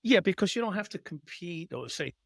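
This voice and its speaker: Man in his sixties, podcast co-host, high voice, joking, rambling, dry close sound. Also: sing-song, brisk, gravelly, no echo. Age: 60s. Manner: joking, rambling, repeats words. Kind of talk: casual banter.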